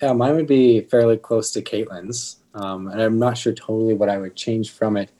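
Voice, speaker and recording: Lowish-voiced young man chatting casually. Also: steady tone, moderate pace, mellow. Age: mid 20s. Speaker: podcast guest, pastor. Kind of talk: casual chat.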